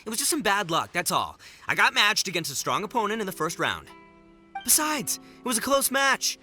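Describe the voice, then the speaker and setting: A brash, bombastic young gang boss from a video game. His delivery is extremely animated and forceful, boastful and goofy, with faint music underneath. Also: high voice, moderate pace, heavily acted. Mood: protesting.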